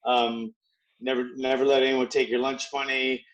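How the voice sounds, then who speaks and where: A man in his sixties from Hawaii tells stories in a loose, halting way, circling back with restarts and fillers. His voice sits at an ordinary middle pitch. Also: flat delivery, highs cut off. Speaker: guest martial arts trainer. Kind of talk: casual storytelling.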